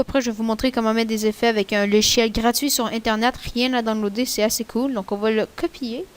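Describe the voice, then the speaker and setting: A woman with a Québécois French accent, rambling casually through a screen-recorded software tutorial in a chatty, slangy way. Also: a little high. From Quebec. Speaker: tutorial maker.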